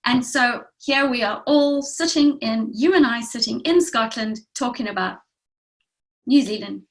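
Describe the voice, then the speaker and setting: A woman's voice: on the high side, a little slow. A woman from Africa, hosting an interview and often saying "you know". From South Africa. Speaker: interview host.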